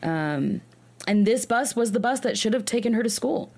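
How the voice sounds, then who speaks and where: A woman's voice: fairly high pitch, lively intonation, moderate pace, creaky, darkish tone. A woman hosting a true crime podcast, telling a case in an earnest way, often restarting her sentences. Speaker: podcast host.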